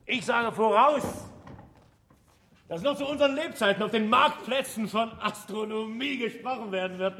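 Exuberant scholar, man's high voice, emphatic and impatient, classic radio drama, roomy sound, faint noise. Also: normal speed, bright, forceful tone. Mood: enthusiastic, visionary. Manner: declamatory, enthusiastic, emphatic. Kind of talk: classic German radio play acting.

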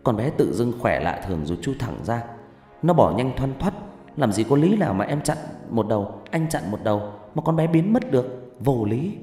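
A man's voice: medium pitch, very animated, normal speed, warm tone, lightly acted. A man playing a caring, anxious younger uncle figure in an audio story, speaking in an earnest, urging way. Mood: flustered. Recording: echoey long reverb, music behind.

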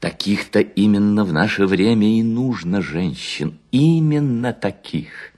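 A man's voice: fairly low pitch, animated, unhurried, a little mellow, pitched above the performer's own voice. An actor playing a worn-out balding seducer, suavely lecturing on his sly craft in a theatrical literary monologue, savoring words and humming between them. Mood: effusive flattery.

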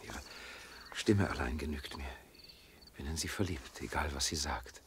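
An actor playing a reserved, tender man in love in a radio drama, speaking quietly and haltingly. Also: extremely low pitch. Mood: tender, hesitant. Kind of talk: German radio drama acting. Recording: clear night insect noise behind. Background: crickets.

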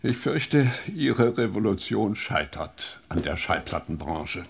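Gravelly, sceptical company director. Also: male voice, lowish voice, big pitch swings, slow, heavily acted. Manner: dry, dismissive, formal. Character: sceptical, self-satisfied male record company director. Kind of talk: German radio drama acting.

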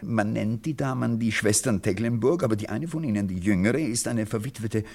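Timid, husky, stammering civil servant. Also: male voice, lowish voice, fairly quick, a little mellow. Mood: sheepish, reluctant. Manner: hesitant, stammering, hedging, apologetic. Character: timid, evasive widowed male civil servant. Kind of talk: German radio play acting.

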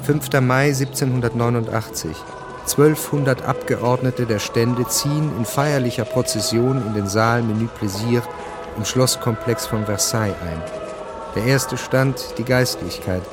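A man narrating a scripted radio drama in a measured, deliberate way. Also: medium pitch. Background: music.

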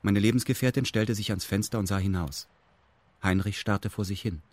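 An actor playing a detached narrator who recounts events in meticulous, matter-of-fact detail in a radio drama. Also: male voice, lowish voice, moderate pace, darkish tone, no room sound, close to an everyday voice.

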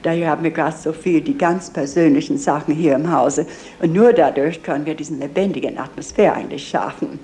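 Mellow, even-toned woman guiding visitors. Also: low voice, brisk. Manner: earnest, with slightly faulty grammar. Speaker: museum guide and owner of a memorial house. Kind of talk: guided tour talk.

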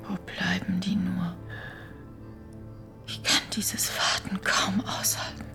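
An actress playing a frightened but calculating farmer's wife in a radio play, murmuring tensely and urgently in a hushed, breathy voice. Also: female voice, mid-range voice, big pitch swings, slow pace, in a whisper, faint music behind, heavily acted. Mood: anxious.

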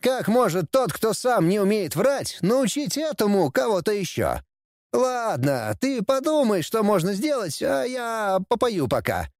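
A bratty young demon prince, pitched well up and very animated. He whines and taunts with gleeful insolence, a mocking son in a fantasy storybook. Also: measured pace, dry recording, clean studio sound, full character voice. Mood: smug, mocking.

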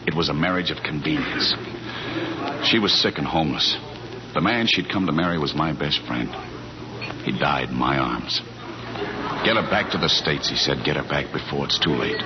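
An actor playing a charming, flustered husband juggling lies, in 1940s radio comedy style. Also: male voice, extremely low pitch, moderate pace, long pauses. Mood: pleading, earnest. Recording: noisy muffled 1940s radio recording.

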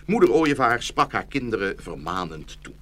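A man's voice: mid-range voice, sing-song, moderate pace, a bit bright. A man narrating a scripted fairy tale for children as the storyteller of a radio play.

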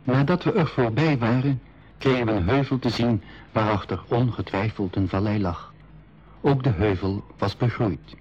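A man narrating his own adventure calmly in a scripted 1980s radio play, with a husky voice. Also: on the low side, normal speed. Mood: descriptive. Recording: slightly dull 1980s radio recording.

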